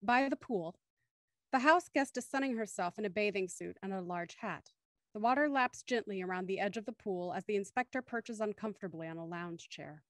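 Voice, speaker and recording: A woman's voice: medium pitch, fairly quick, dry recording. A woman reading scripted scene-setting narration as the narrator of a radio mystery.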